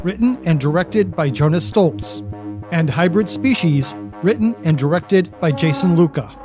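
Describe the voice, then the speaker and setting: A man's voice: a little high, expressive, a little slow. A man announcing a horror audio drama show in a scripted introduction. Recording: music behind the voice.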